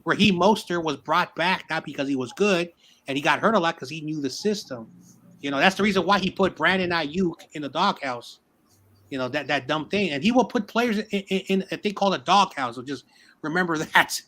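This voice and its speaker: A man with a slightly high voice talks football in a casual hangout. He rambles and repeats words as he builds his point, loose and conversational. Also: lively intonation, fairly quick, pushed and bright, slight background noise. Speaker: sports show host. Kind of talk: casual sports debate.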